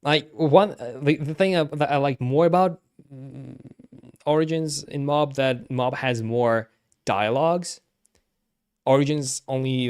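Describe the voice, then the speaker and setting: A man giving casual live-stream commentary, halting and repeating himself as he second-guesses his opinions. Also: medium pitch, clean tone.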